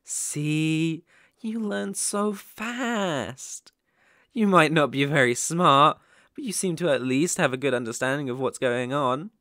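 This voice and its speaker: A man playing a sadistic, obsessive young kidnapper in an ASMR roleplay, gloating with menacing, mocking, drawn-out phrasing. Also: a little high, bright, forceful tone, no room sound, clean studio sound, full character voice. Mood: condescending.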